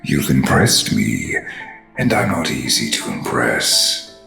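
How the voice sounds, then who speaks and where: Sinister mastermind, smug drawl, very deep heavy fry, wildly swooping intonation. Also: male voice, big pitch swings, full character voice. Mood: smug, pleased. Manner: drawn-out, condescending, theatrical. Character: sinister male mastermind villain, smug, menacing, theatrical. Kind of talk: audio drama villain acting. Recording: roomy echo, faint music behind.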